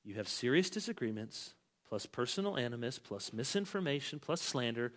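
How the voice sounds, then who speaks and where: A middle-aged male pastor preaching an expository sermon, swinging between loud, stressed phrases and quiet asides. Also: medium pitch, big pitch swings, fairly quick. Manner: emphatic, with big swings in loudness.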